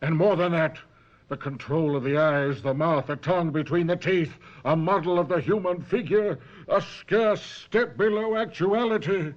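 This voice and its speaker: A man's voice: fairly high pitch, normal speed, close to an everyday voice. An actor playing a proud, indignant professor and craftsman in an old-time radio drama, grandiose and precise. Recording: muffled vintage radio drama recording.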